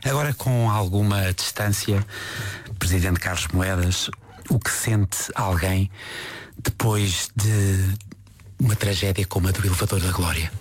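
A man with a European Portuguese accent interviewing a guest in a calm, measured way. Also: sits low, slight background noise. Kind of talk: interview questions.

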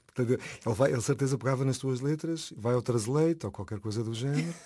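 A man's voice: medium pitch, fast, dark tone, husky. A man with a European Portuguese accent hosting a casual interview, chatty and enthusiastic, often repeating his phrases. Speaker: interviewer, radio host.

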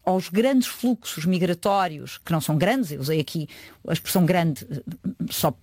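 A woman's voice: on the low side, expressive, normal speed, a little mellow, dry recording. A woman, giving earnest, emphatic interview answers with frequent hesitations. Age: 50s. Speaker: UN agency official, guest.

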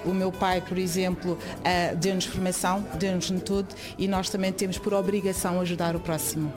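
A woman's voice: lowish voice, flat delivery, fairly quick, over music. A young woman from Guinea-Bissau explaining things informally as an association volunteer, often repeating phrases for emphasis. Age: young adult. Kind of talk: interview, informal guided explanation.

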